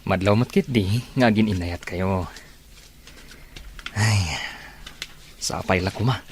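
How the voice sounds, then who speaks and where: A man plays a supportive young buddy in an audio soap, teasing and hopeful. His voice runs fairly low, over faint background noise. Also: slow pace. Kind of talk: Ilocano audio soap drama acting.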